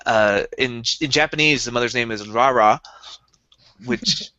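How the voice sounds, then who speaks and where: A man's voice: mid-range voice, unhurried, bright, forceful tone, no room sound, highs cut off. A young man co-hosting a casual podcast, explaining things eagerly in a rambling way with many restarts. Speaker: podcast co-host. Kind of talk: casual chat.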